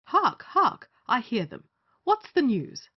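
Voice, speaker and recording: A woman's voice: fairly high pitch, huge pitch swings, takes it slowly, breathy edge, heavily acted. A woman playing a bossy, scheming male justice of the peace in an old stage comedy, theatrical and commanding. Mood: conspiring. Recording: muffled lo-fi audio.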